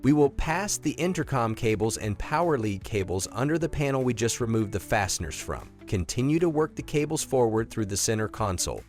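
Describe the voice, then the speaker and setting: A man reading scripted instructional narration in a clear, methodical, step-by-step way, as the narrator of an installation video. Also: mid-range voice, sing-song, fairly quick, few pauses, a little mellow, faint music behind.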